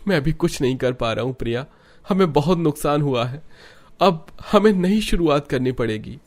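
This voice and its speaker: A man's voice: on the high side, animated, normal speed, natural voice. A man voicing a troubled, downcast businessman husband in a moral cartoon story. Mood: anxious, dejected.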